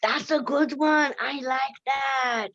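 A woman's voice: high-pitched, fairly even intonation, slow, a bit bright, lo-fi sound. Blunt Lithuanian-accented woman. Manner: blunt, swears freely, laughs often. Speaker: interview guest. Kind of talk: casual candid interview.

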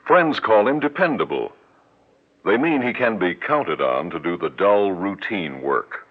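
Very deep, slow male radio announcer. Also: big pitch swings, breathy edge. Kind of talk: dramatic scripted radio narration. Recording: dull vintage radio recording. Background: loud music.

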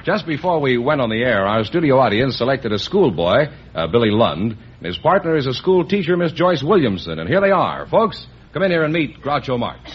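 Old time radio announcer guy, very lively pitch, polished delivery, muffled hissy audio. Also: fairly quick, few pauses. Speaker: radio announcer and show sidekick. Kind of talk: polished announcing and scripted ad reading. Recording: noisy, dull vintage radio recording.

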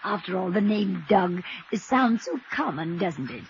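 A woman's voice: mid-range voice, very animated, measured pace. A woman playing a sweet, gushing, eccentric rich old lady with genteel manners in a 1950s radio drama. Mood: gushing, delighted. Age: older. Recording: dull, noisy old radio recording.

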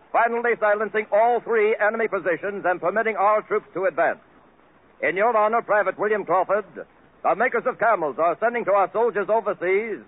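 A man announcing a 1940s radio program in a formal, polished style, reading sponsor copy and a salute. His voice is fairly high. The sound is thin and tinny, like an old broadcast. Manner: smooth, formal announcer delivery.

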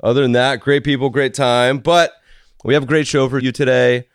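A hoarse man hosting a podcast, chatting casually with rambling asides. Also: mid-range voice, fairly even intonation, normal speed, no room sound, clean studio sound. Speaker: podcast host. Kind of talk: casual interview chat.

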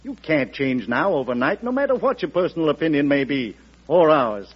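An actor playing a firm, dignified congressional leader in an old radio drama, formal and earnest. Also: male voice, fairly high pitch, big pitch swings, fairly quick, slightly rough. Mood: earnest, resolute. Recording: muffled old radio recording.

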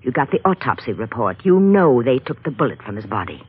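A cornered, desperate wife in a 1940s radio melodrama. Her breathless voice is husky and swings widely in pitch. The recording is narrow and tinny, like an old broadcast. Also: big pitch swings, brisk, exaggerated voice. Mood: accusing, bitter. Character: desperate unhappy wife turned killer, cornered and cracking up. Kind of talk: 1940s radio drama acting.

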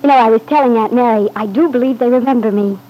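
An actress playing a sweet, anxious young wife, eager and placating, in an old-time radio soap opera. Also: female voice, fairly high pitch, fast, dark tone, natural voice, low-quality recording.

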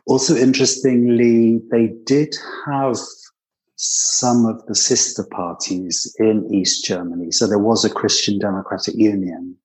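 Low-voiced older British man reminiscing. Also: steady tone, a little slow, darkish tone, low-quality recording. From Britain. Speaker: interview guest recalling his years living abroad. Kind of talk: conversational personal reminiscence.

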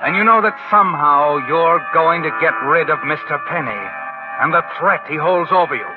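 Over loud music on a tinny old radio recording, an actor as an ominous male narrator speaks in a fairly high voice. He is insinuating and suspenseful, in 1940s thriller style. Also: moderate pace, close to an everyday voice. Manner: insinuating, suspenseful, rhetorical tag questions. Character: ominous male narrator addressing the schemer as you.

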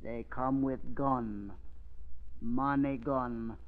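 An actor playing a loyal, mystic old native man in old-time radio drama, speaking broken English in short halting phrases. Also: mid-range voice, fairly even intonation. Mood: grave warning. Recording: tinny, band-limited vintage radio recording.

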